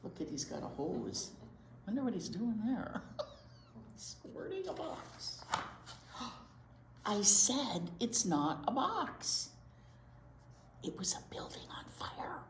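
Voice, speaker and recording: A woman hosting a children's storytime, reading aloud in an expressive, exaggerated, playful way. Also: mid-range voice, slow, pauses often, whispered. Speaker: children's storytime host and teacher. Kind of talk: read-aloud to young children. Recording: roomy echo, clear background noise.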